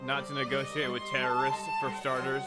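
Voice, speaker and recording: A man's voice: mid-range voice, completely flat delivery, a little fast, over loud music. A man doing a casual voiced playthrough of a visual novel, reading and reacting in a sarcastic, slangy way. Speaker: gaming video creator.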